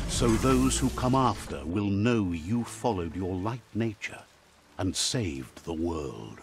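An actor playing a wise, philosophical storyteller narrating a fantasy game in a solemn, measured way. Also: male voice, fairly low pitch. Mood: warmly solemn. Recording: music behind the voice.

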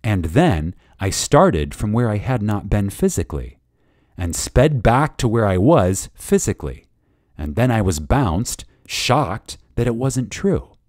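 A man reading a spiritual lecture dramatically, with reverence, emphasis and deliberate pauses. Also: on the low side, very animated, darkish tone, no echo, clean studio sound. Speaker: reader of a lecture.

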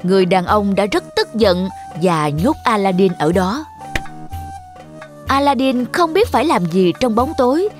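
A woman's voice: mid-range voice, lively intonation, unhurried, soft background music. A woman narrator reading a scripted fairy tale for children.

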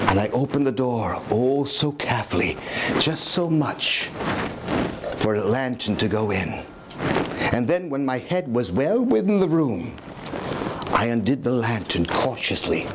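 A man's voice: medium pitch, noisy background. Older man acting a theatrical, unhinged gothic murderer. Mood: hushed, sly. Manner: halting, repetitive, suspenseful, with sudden swells and chuckles. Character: unhinged, gleeful murderer confessing his crime with sly pride. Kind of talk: theatrical dramatic reading of gothic horror. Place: small dim room, live before an audience.

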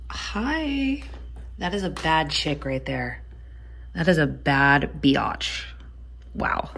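A woman's voice: lowish voice, vocal fry, a little hiss or hum. A woman chatting casually to her phone camera in a travel vlog, playful and crude, with frequent jokes and laughter. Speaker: travel vlogger.

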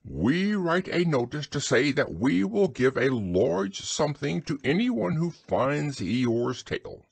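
Male reader as storybook owl, raised pitch, self-important, formal, wordy, swooping intonation. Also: big pitch swings, takes it slowly, vocal fry, hardly any pauses, slightly rough, no echo, exaggerated voice. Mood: loud, insistent. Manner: pompous, formal, long-worded. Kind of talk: storybook character reading.

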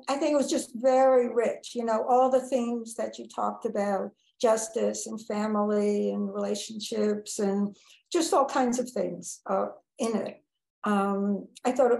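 A woman's voice: mid-range voice, steady tone, normal speed, gravelly, dark tone. A woman giving casual feedback as a listener over a roomy video call. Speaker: audience member giving feedback. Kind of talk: casual spoken reaction.

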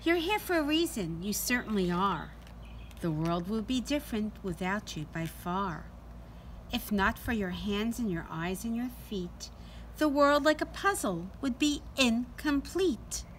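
A middle-aged woman doing an expressive, deliberate read-aloud storytime for young children. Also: medium pitch, rough recording. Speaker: teacher reading to children. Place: outdoors in a sunny backyard. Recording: outdoor ambient noise.

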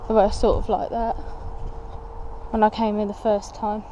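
A woman's voice: mid-range voice, flat delivery, moderate pace, mellow, a little hiss or hum. A young woman chatting casually as she walks and explores, exclaiming at what she finds, recorded on a camera she carries. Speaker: urban explorer vlogger. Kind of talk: casual walk-and-talk narration. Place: outdoors and inside a derelict building.

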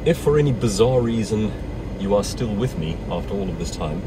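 A man's voice: fairly low pitch, animated, fairly quick. A South African man giving casual, rambling commentary while driving, chatty and wry with jokey asides. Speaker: vlogger driving and narrating. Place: inside a moving car. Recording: loud car and road noise, distant mic.

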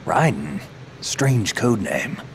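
Low, guarded American soldier. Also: male voice, sing-song, slow, full character voice. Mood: terse, cool. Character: seasoned, guarded, terse male military operative. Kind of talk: video game cutscene acting. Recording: clear vehicle and ambience noise behind.

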